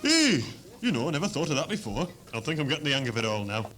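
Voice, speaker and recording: Male actor as hapless young working-class hero, broad Northern English, exclamatory, lively pitch, 1970s hospital radio comedy. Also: fast talker, background noise. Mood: cheerful, earnest. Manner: broad dialect, exaggerated exclamations. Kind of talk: amateur comedy radio play acting. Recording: 1970s hospital radio recording, music behind.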